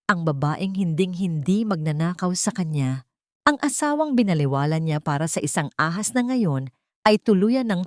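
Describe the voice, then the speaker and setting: A woman narrating a dramatic love story in a measured, storytelling manner. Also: lowish voice, darkish tone, dry recording, clean studio sound.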